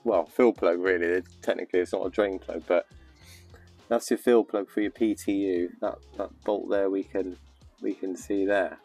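A man's voice: low-pitched, steady tone, moderate pace, darkish tone, faint music behind. A man explaining a car repair step by step from under the car, hesitant and casual. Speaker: vlogger narrating car repair. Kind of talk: casual how-to commentary.

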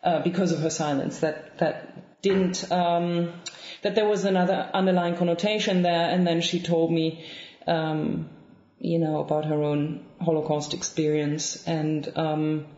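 A woman in her thirties from Germany with a German accent, speaking thoughtfully in an interview, with frequent pauses and restarts. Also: deep voice, little pitch movement. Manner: thoughtful, soft-spoken, many ums and restarts. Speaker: guest author. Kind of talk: reflective interview conversation. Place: home room with bookshelves, indoors. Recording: roomy, slightly dull lo-fi sound.

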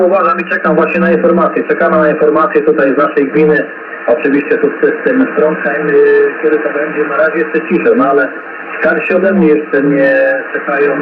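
A man chatting in a friendly, polite way as an operator in a casual CB radio contact. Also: fairly high pitch. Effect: CB radio transmission. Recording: narrow, very noisy shortwave radio reception. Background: loud radio static.

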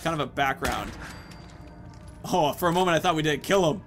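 A man's voice: a little high, brisk, a bit bright, faint music behind. A man in his thirties giving casual let's-play commentary, rambling informally as he thinks aloud into a headset. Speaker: gaming video host.

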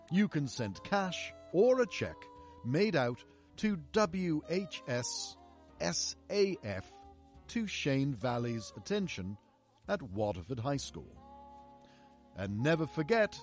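A male radio announcer in old-time style, with a slightly raised pitch and very lively intonation. He speaks slowly and theatrically over music.

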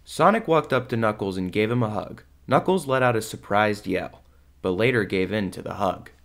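A man's voice: fairly low pitch, lively intonation, normal speed, creaky. A man giving scripted storytelling narration for an audio drama.

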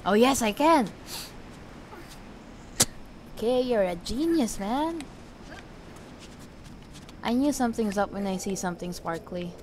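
A woman's voice: medium pitch, lively intonation, measured pace, long pauses, noisy background. A woman doing casual let's-play commentary, sweary and exclamatory, talking half to herself as she plays. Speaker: gaming video creator. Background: video game ambience sounds.

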